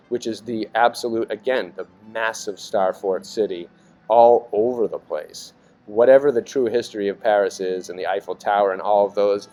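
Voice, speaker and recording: A man's voice: lowish voice, a little mellow, poor audio. A man giving casual, rambling commentary as a video narrator, musing and speculating in a conspiratorial way. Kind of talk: casual rambling commentary over archive images. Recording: music behind the voice. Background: background music.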